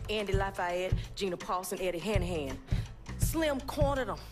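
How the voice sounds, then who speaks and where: Woman acting tough, lively young Southern US revolutionary woman. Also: mid-range voice, moderate pace, music in the background, close to an everyday voice. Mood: urgent. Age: young adult. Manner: blunt, streetwise, profane. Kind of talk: video game cutscene acting.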